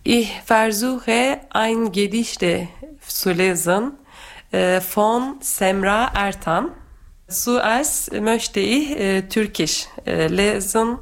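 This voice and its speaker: A woman from Turkey with a Turkish accent, a teacher speaking careful, halting non-native German as she talks and reads poetry. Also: medium pitch, vocal fry. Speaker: guest teacher reading poetry. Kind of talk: personal talk and poetry reading.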